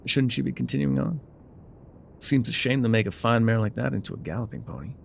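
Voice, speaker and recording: An actor playing a gruff, wry loner guide in an ASMR roleplay, with a dry, sardonic, unhurried delivery. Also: male voice, medium pitch, a little hiss or hum. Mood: dry, curious. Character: gruff, wry loner man guiding a rider on foot.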